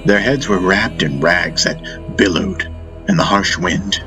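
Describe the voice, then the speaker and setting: A man reading a first-person fantasy audiobook as narrator, in a solemn, deliberate, dramatic style. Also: low-pitched, lively intonation. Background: faint music.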